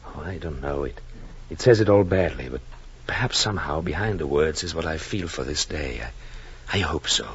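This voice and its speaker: An actor playing an earnest, gentle husband and father-to-be in a 1940s radio drama, with formal, measured phrasing. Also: rumbling low, animated, a little hiss or hum. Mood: tender, humble. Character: earnest, tender husband and father-to-be, reading his journal. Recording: dull vintage radio recording with music.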